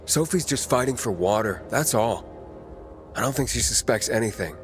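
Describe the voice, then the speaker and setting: An actor playing a self-assured young wanderer, the hero of a video game, casual and confident. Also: male voice, medium pitch, expressive, normal speed, natural voice. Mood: calm, defensive. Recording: music under the voice.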